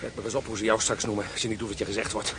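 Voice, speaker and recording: A man's voice: on the low side, flat delivery, very fast, background noise, lightly acted. An actor playing a tough prisoner of war who urgently bosses his companion around in a radio play. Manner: urgent, bossy. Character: tough male prisoner following orders. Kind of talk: radio drama acting.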